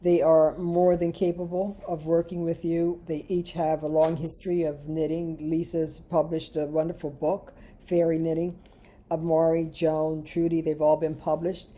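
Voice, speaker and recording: A woman's voice: low-pitched, flat delivery, moderate pace, warm tone. A woman hosting an online knitting call, chatting and interviewing a longtime collaborator. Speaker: knitting designer and class leader. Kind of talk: casual call presentation and interview.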